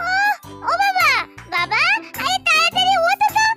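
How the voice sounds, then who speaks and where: Doll story role: scared little village girl, piercingly high, urgent whining, repeated pleas, loud music underneath. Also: big pitch swings, moderate pace. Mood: whiny, urgent. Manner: whiny, exclaiming, repeats calls and pleas. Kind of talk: children's doll story play-acting. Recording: children's music behind the voice.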